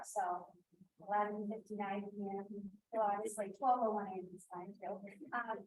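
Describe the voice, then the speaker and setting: A soft-spoken woman, a college director, making a job announcement at a member meeting, with frequent fillers and apologies. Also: medium pitch, slight background noise, poor audio. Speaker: college director of student initiatives. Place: meeting room.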